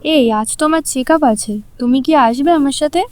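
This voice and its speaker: A woman acting a gentle, understanding young wife in an audio drama. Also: high-pitched, normal speed, lightly acted.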